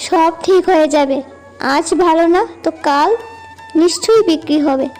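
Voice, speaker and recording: A woman voicing a gentle, caring young village housewife in a cartoon, with a soothing, attentive manner. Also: extremely high pitch, normal speed. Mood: reassuring. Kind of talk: cartoon dialogue acting. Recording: music bed behind voice.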